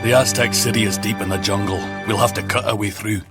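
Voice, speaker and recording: A man's voice: on the low side, steady tone, fast talker, lightly acted. A man playing a grave, resolute knight commander in a video game cutscene. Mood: grave, urgent. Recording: music behind the voice.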